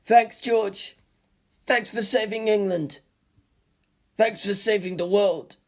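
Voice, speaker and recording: A reader voicing an earnest, emotional young male pilot who thanks a friend, in a slightly raised, softer put-on voice. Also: medium pitch, slow pace. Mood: grateful. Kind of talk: audiobook reading.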